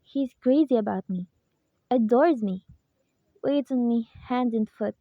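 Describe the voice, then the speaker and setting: Woman acting a high-pitched, fretful, demanding wife. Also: unhurried, dry recording, muffled recording. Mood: worried. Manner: fretful, insistent, imperious. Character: anxious, demanding, bedridden wealthy wife, alone at night. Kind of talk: radio play acting.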